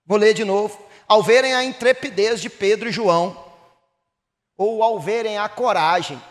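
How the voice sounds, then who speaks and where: A Brazilian pastor from Minas Gerais with a Mineiro accent, preaching a sermon that rises to emphatic bursts and drops low again. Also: male voice, moderate pace, in a large hall. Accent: Mineiro Brazilian Portuguese. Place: church hall.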